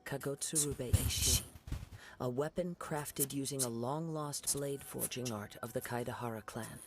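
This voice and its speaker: A man voicing a calm, poetic young wandering swordsman in a video game, soft-spoken and formal. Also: mid-range voice, unhurried, close to an everyday voice.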